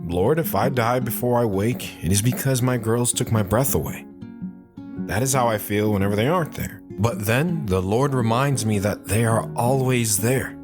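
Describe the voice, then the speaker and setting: A man's voice: medium pitch, moderate pace, music in the background, lightly acted. A man playing a loving, reassuring father in an audio drama, speaking tenderly to his daughter. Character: loving, reassuring, playful father of a young girl.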